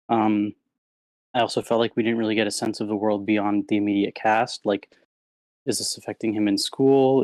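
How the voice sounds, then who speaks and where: A man with a fairly low voice talks through his feedback casually and tactfully. He hedges as he goes, speaking into an online meeting mic with little room sound. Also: dry recording. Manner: hedging, diplomatic. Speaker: participant giving script feedback. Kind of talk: casual spoken critique. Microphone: online meeting microphone.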